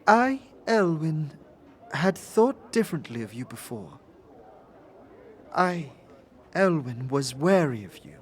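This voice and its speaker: A man playing a centuries-old otherworldly woman in a fantasy audio drama, speaking in halting, formal, wistful and wary phrases. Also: a little high, very animated. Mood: solemn, guarded. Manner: halting, formal, repeatedly names herself mid-sentence.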